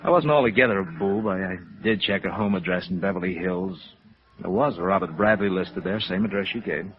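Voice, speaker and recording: An American actor playing an embarrassed, hesitant hotel manager who has been swindled, in a 1950s radio drama. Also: male voice, deep voice, fairly quick, natural voice. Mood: embarrassed, explaining. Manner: hesitant, rueful. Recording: muffled vintage radio recording.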